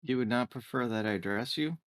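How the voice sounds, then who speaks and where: A man's voice: mid-range voice, fairly quick, voice pushed up, close to an everyday voice. Man playing a stiffly polite female android attendant. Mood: formal, deferential. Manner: formal, stilted, precise diction.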